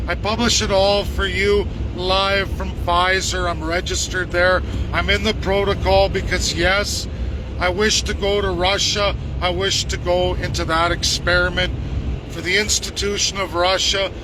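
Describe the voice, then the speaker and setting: A man's voice: high-pitched, level tone, a little slow, background noise. A man in his forties who grew up in Canada, angrily ranting to his viewers and repeating himself insistently. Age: 40s.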